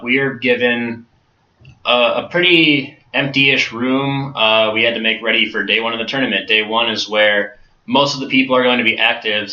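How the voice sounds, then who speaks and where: A man's voice: medium pitch, bright, forceful tone. A man who is a guest on a casual podcast, explaining his work as a staffer step by step with many ums. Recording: roomy, dull sound.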